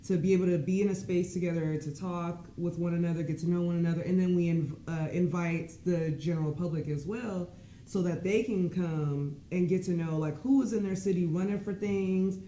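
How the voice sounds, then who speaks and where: Thirtyish woman guest explaining stuff, um-ing a lot, mid pitch, echoey room. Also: fairly even intonation, fairly quick, mellow. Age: 30s. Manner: earnest, explains at length with fillers. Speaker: podcast guest. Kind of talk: casual conversation. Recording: echoey room with faint noise.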